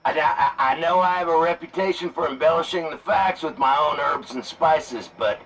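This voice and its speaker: A man's voice: a little high, moderate pace, recorded from a distance, low-quality recording. A man playing a colorful, gossipy trucker in an audio drama, telling tales in a folksy, rambling, jokey way. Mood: conspiratorial. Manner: folksy, rambling, animated storytelling. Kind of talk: audio fiction drama acting.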